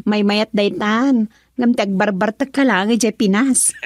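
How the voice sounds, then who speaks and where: An actress with an Ilocano accent playing a young woman on a phone call, slow and questioning, in a radio drama. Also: medium pitch, lively intonation, no echo, studio quality. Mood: curious.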